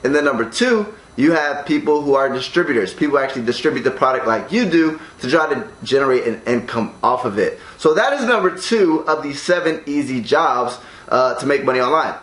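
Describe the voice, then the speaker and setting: A man's voice: medium pitch, expressive, a little fast, few pauses, a bit bright, echoey room, poor audio. A young American man in a condo, talking casually to camera and explaining ways to earn money online, with frequent "right?" checks. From United States. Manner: upbeat, keeps asking "right?". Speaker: online business vlogger. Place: condo room.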